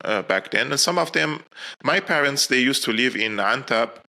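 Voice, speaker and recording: A man born and raised in Syria speaks with a strikingly bright, forceful tone. He sits as a podcast guest and explains at length. He talks earnestly, often restarting his sentences. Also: medium pitch, expressive, moderate pace, creaky. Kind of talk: interview answers.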